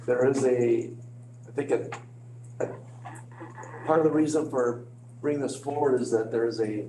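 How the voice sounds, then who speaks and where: A man with a slightly crackly voice, briefing a meeting informally as an official, halting and hedging as he goes. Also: mid-range voice. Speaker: village official presenting to a board. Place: indoor village board meeting room. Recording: echoey meeting room, clear hum.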